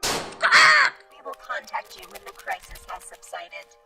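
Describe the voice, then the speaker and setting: Audio drama: PA announcer, female actor. High, creaky, formal, reassuring; distant, loud music over her. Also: huge pitch swings, slow pace, heavily acted. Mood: official, reassuring. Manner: formal, official. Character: calmly reassuring public-address announcer.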